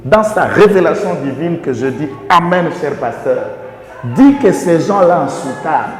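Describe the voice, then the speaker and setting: A man with a Congolese accent giving impassioned political commentary as a guest, emphatic, with rhetorical questions and repeated lists. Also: medium pitch. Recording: echoey reverberant room, faint background noise.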